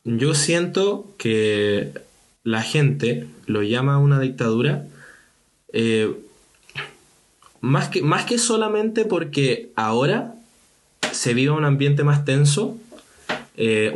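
A young man from Chile leads a casual podcast chat in a mid-range voice. He hesitates a lot, filling pauses with "eh" and "em" and restarting his sentences. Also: mid-range voice. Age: young adult. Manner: hesitant, many fillers and restarts. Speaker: podcast host. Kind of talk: casual discussion.

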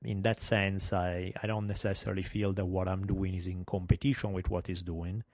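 A man's voice: deep voice, level tone, moderate pace, a little mellow, dry recording, studio quality. Italian-accented man hosting a podcast. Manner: candid, self-deprecating, wry. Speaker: podcast host. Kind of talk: solo podcast monologue.

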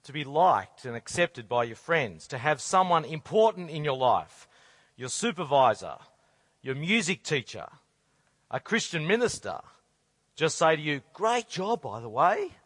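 A hoarse, croaky man preaches to a crowd, firing off earnest rhetorical questions. His voice sits a little higher than most men's and sounds close and dry, with no room echo. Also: big pitch swings, unhurried, fairly bright tone. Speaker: conference speaker. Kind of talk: sermon.

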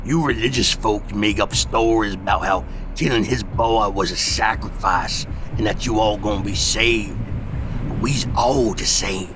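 A man voicing a grim giant farmhand who speaks flatly in a rural folk dialect. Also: lowish voice, a little slow, background noise, lightly acted. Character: grim giant old farmhand, cold and fatalistic.

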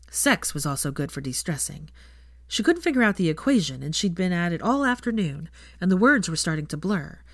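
Woman, slightly low voice, scripted story narration, audiobook reader, dry close recording. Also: no echo. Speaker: fan fiction narrator.

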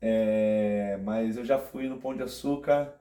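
A young man from Rio de Janeiro state with a Carioca accent, a podcast guest chatting in a relaxed, rambling way full of fillers and restarts. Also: lowish voice, measured pace, reverberant. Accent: Carioca Brazilian Portuguese. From Rio de Janeiro state, Brazil. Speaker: podcast guest, theology graduate. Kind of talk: casual chat.

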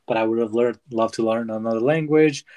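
A young man co-hosting a podcast, chatting casually in quick bursts with fillers. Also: medium pitch, mellow. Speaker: podcast co-host. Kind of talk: casual chat.

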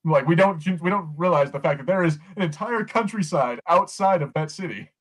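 A man's voice: a little high, animated, fairly quick. An American man co-hosting a podcast, chatting casually and rambling as he rephrases his points in a review discussion. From United States. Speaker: podcast co-host. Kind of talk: casual film and TV review chat.

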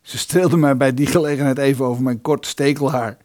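A man's voice: medium pitch, steady tone, fairly quick, darkish tone, no room sound, clean studio sound, lightly acted. An actor playing a scheming, dryly witty male lodger in a radio play, talking in scripted dialogue and storytelling. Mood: wry reminiscing.

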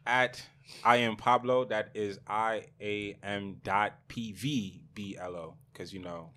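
A man's voice: lowish voice, fairly even intonation, slow pace, bright, forceful tone. A young man co-hosting a podcast in casual chat, laid-back and slangy, often laughing. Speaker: podcast co-host.

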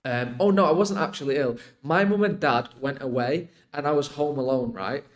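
A man chats on a podcast. His voice sits at an ordinary pitch, and he explains things in a loose, emphatic, rambling way, tagging points with little check-ins. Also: moderate pace. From Iraq until age eight, then UK. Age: 20s. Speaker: podcast guest. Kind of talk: casual podcast conversation.

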